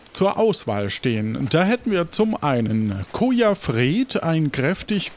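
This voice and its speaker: A man's voice: on the high side, a little hiss or hum. A man improvising narration as game master of a tabletop roleplaying game.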